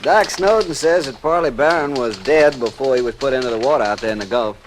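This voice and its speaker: A man's voice: medium pitch, brisk, lightly acted. Man as earnest radio police sergeant, faint noise. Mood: earnest, puzzled. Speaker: actor in a radio drama. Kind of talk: 1950s radio detective acting. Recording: faintly noisy vintage radio recording.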